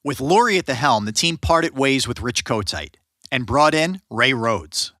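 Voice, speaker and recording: A man's voice: mid-range voice, lively intonation, a little slow, bright, forceful tone, dry recording, studio quality. A man narrating a sports history podcast in a scripted style, joking with his co-host.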